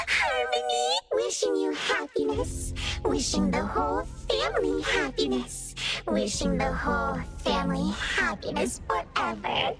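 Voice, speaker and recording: Eerie, singsong flower spirit, wildly swooping. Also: female voice, extremely high pitch, full character voice. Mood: mock praise. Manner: theatrical, repeating words in singsong. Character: eerie female flower spirit, servant of a dead master. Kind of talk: video game voice acting.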